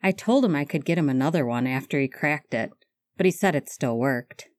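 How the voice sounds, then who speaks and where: A woman voicing a gentle earnest man. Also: deep voice, expressive, a little fast, no echo, voice pushed down. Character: gentle kind man, social worker.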